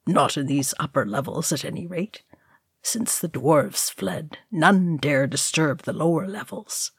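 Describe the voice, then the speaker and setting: Old male wizard read by a woman, knowing and measured, slow delivery, lowish register. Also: gravelly, husky, no echo, clean studio sound, exaggerated voice. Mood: dismissive. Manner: diplomatic, explanatory.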